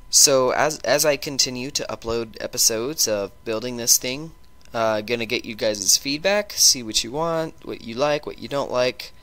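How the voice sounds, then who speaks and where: A man with a sore throat and a stuffy nose, chatting through a gameplay video and talking in a halting way with lots of ums and restarts. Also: medium pitch, pushed and bright. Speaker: gaming YouTuber. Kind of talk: casual gameplay commentary.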